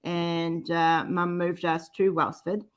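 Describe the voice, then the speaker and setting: A middle-aged woman with a New Zealand accent talks in a fairly low voice. She is a guest in a casual group chat, sharing personal stories in an informal way. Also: little pitch movement, slow pace.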